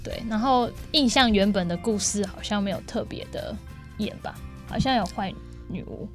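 A woman's voice: medium pitch, moderate pace. A young woman with a Taiwanese Mandarin accent, chatting casually as a guest in an interview. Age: young adult. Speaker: guest, singer and stage actor. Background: music playing behind the talk.

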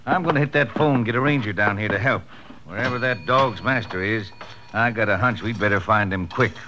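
An actor playing a plain-spoken Texas sheriff with a folksy Western drawl in a 1950s radio drama. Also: male voice, on the low side, a little fast, close to an everyday voice. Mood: businesslike. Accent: American Western drawl. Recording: faintly noisy old radio transcription.

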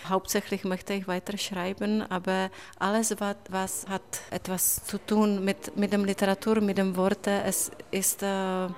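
A Czech woman answering interview questions in a learned second language, pausing often with fillers and broken grammar. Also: medium pitch, flat delivery, a little hiss or hum. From Czech Republic. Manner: hesitant, many pauses and fillers, broken grammar. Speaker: writer, interview guest.

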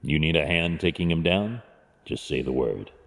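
Extremely low male voice, gravelly, stern brooding vigilante, clipped commands, audio drama, long reverb. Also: lively intonation, moderate pace, a bit bright, heavily acted. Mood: grave, concerned. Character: brooding, stern adult male masked vigilante and wealthy guardian. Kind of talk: fan audio drama acting.

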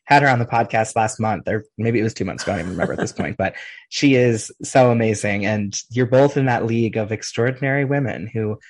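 A man's voice: fairly low pitch, quick pace, no room sound. A man hosting a podcast, asking enthusiastic, rambling interview questions with small restarts. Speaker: podcast host.

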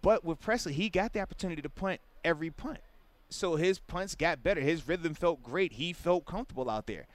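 Laid back guy talking football on a show, a bit high voice, says man a lot. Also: a little fast, dry recording. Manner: relaxed, explains with repeated "man". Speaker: sports show co-host and analyst. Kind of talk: casual sports talk show.

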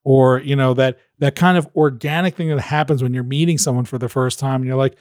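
A man who coaches podcast hosts, giving animated advice in an interview and acting out quoted voices. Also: medium pitch, fairly quick, no room sound, clean studio sound.

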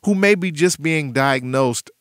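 Gentle pastor hosting an interview. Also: male voice, on the high side, expressive, measured pace, clear, resonant tone, no echo, studio quality. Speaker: pastor and host.

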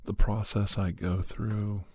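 A man who makes ASMR videos, talking casually to camera from home into a condenser studio mic, his voice worn down by a cold and his talk unhurried and meandering. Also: lowish voice, level tone, slightly rough, no room sound. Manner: unhurried and meandering, soft at times. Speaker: ASMR channel creator and podcaster. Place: at home, indoors. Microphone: large condenser studio mic.

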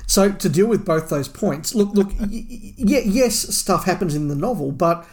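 A man's voice: high voice, expressive, normal speed, a little mellow. A man co-hosting a podcast, discussing a book casually in an analytical, opinionated way with emphatic repetition. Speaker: podcast co-host.

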